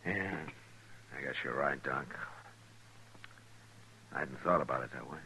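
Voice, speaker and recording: An actor playing a steady, easygoing frontier lawman in a 1950s radio western, laconic and plainspoken. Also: very deep voice, unhurried, a little hiss or hum, exaggerated voice, rough recording. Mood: somber. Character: steady, easygoing frontier lawman who narrates his own story. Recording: 1950s radio drama recording.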